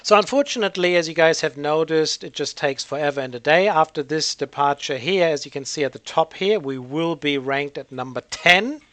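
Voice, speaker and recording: A man's voice: fairly high pitch, fairly quick, hardly any pauses, a bit bright, dry recording. A man giving casual gameplay commentary as the host of a gaming channel, hesitant and rambling as he thinks aloud.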